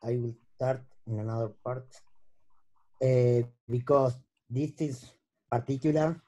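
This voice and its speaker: A man whose first language is Spanish, lecturing in halting English on a video call and searching for words. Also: medium pitch, steady tone, dark tone, muffled recording. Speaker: guest lecturer. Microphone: laptop mic on a video call.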